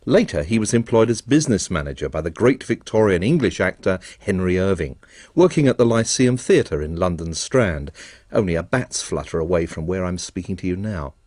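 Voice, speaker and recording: A male radio presenter delivers scripted documentary narration in a measured, articulate way. His lowish voice is husky and lively in pitch, and it sounds dry and close. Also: no echo.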